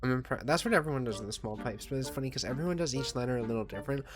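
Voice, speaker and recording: A man's voice: medium pitch, animated, rapid-fire. Male gameplay commentator, mid-range voice, casual and self-deprecating, rambling delivery with mild swearing, faint music behind. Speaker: gaming video host.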